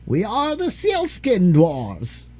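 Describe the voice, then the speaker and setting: A man voicing a crowd of small, fat, spiteful sealskin dwarves in a storybook reading, with a shrill voice and a slow, careless menace. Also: huge pitch swings, darkish tone, husky, exaggerated voice. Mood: boastful.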